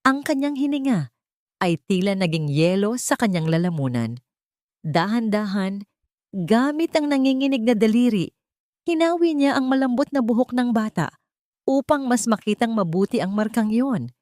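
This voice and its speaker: A woman's voice: a little high, animated, moderate pace, darkish tone, no echo, studio quality. A woman reading scripted dramatic narration in a solemn, emotive storytelling manner.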